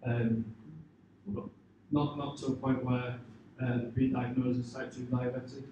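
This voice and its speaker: A man giving informal, hesitant answers to questions after pitching his business, leaning on filler phrases. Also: little pitch movement, measured pace, lo-fi sound. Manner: hesitant, informal, leans on "you know" and "sort of". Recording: echoey room, distant mic, clear background noise.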